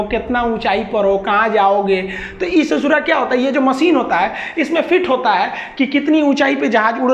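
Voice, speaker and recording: A woman with a Bihari accent giving an informal explainer lecture, colloquial and repeating points with everyday examples. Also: sits high. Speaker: teacher. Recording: echoey, dull lo-fi audio.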